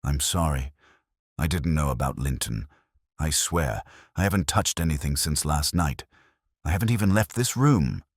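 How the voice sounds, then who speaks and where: A man's voice: a bass voice, lively intonation, moderate pace, no room sound, studio quality. A man voicing an anxious, defensive records clerk in a mystery story. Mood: pleading.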